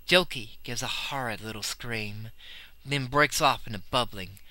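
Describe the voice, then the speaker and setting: A man's voice: medium pitch, unhurried, sharp tone, no room sound, rough recording. A man playing a terrified young sailor, telling a horror tale of the sea with intense dread. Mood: tense, horrified. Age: young adult. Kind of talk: dramatic first-person horror storytelling.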